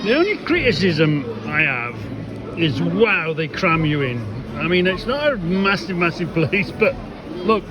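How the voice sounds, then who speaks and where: A man's voice: fairly high pitch, sing-song, a little slow, dull audio. A man chatting casually as a vlogger, rambling, repeating himself and putting questions to the listener. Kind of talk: casual chatty vlog commentary. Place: out on a city street and inside a busy theatre auditorium. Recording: background music and crowd chatter in public spaces.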